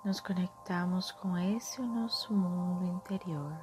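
A woman leading a guided meditation in a soft, soothing, often breathy manner with drawn-out phrases. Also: lowish voice. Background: soft music.

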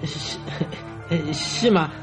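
Shifty middle-aged crook in a radio play, stammery and cringing, music clearly playing behind him. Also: male voice, drawn-out words. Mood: uneasy. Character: nervous evasive middle-aged swindler, guilty and cornered. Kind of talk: radio drama acting.

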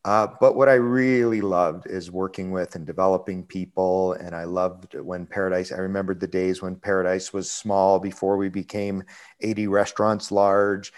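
A man in his forties, a restaurant executive, telling his career story in a relaxed, rambling way with lots of fillers. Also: low-pitched, normal speed. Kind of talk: conversational interview storytelling.

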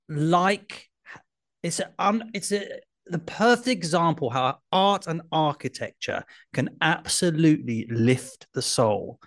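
A man on a casual podcast chat, animated and enthusiastic, telling a story with the odd self-deprecating laugh. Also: on the high side, creaky. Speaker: podcast co-host. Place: traveling abroad, remote call.

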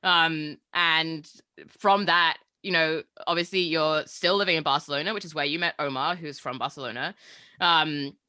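A woman's voice: low-pitched, fairly even intonation, moderate pace, sharp tone, no room sound, clean studio sound. A woman co-hosting a podcast who asks questions in a casual, curious way. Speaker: podcast co-host.

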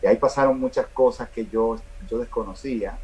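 A man's voice: mid-range voice, fairly quick, a little mellow, poor audio. A Dominican man with a Dominican Spanish accent, a band singer talking casually in an interview, rambling with many restarts and fillers. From Dominican Republic.

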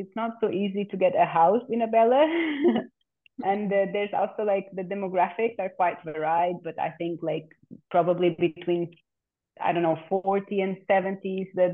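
A woman from Portugal, talking casually and haltingly as an interview guest, often repeating words. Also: mid-range voice. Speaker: interview guest, community land steward. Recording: narrow phone-like call audio.

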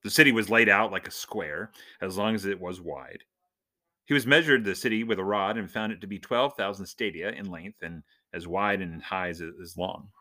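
Bright, low-voiced man hosting. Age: adult, husband and father. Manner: jokey, self-described nerd. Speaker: podcast host and church minister. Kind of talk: casual humorous podcast monologue.

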